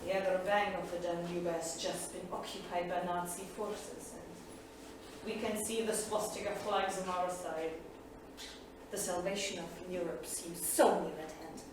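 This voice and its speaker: An actress in a staged reading, playing a proud, earnest heroine in a solemn, dramatic manner. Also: female voice, on the low side, steady tone, measured pace, lightly acted. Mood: earnest, anguished. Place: theatre studio stage, far microphone. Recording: distant echoey theatre studio, faint noise.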